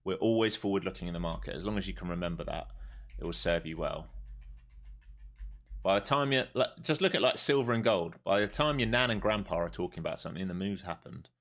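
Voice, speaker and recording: Man, forties, very low voice, casual blunt livestream chat about trading. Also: a little fast, a bit bright. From Europe. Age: 40s. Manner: casual, chatty, blunt, swears freely. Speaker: livestream day trader. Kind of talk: live market commentary and chat.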